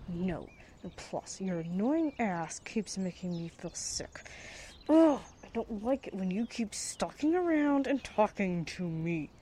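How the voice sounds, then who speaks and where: A woman's voice: mid-range voice, very animated, a little slow, mellow, a little hiss or hum. A young woman playing a sarcastic, foul-mouthed, distrustful student in an amateur radio drama, with a blunt, casual manner. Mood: irritated, sarcastic.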